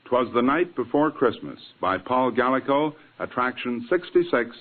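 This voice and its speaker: A man's voice: mid-range voice, lively intonation, moderate pace. A man, the director of a radio drama, giving a scripted 1940s-style introduction. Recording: tinny vintage radio recording.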